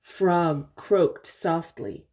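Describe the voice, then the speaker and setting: An older woman reading a picture book aloud to young children, pausing to explain things and ask simple questions. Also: lowish voice. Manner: expressive, simple explanations and questions for little listeners.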